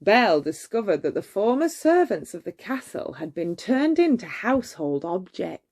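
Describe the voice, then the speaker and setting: A woman reading a bedtime story aloud in an expressive, measured way. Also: mid-range voice.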